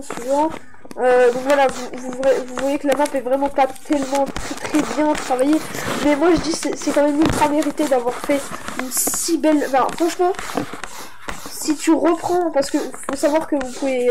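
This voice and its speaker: A woman's voice: high-pitched, noisy background. A young woman with a stuffy head cold, rambling through a casual showcase of a game map for her channel, with many fillers and restarts. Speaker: gaming YouTuber.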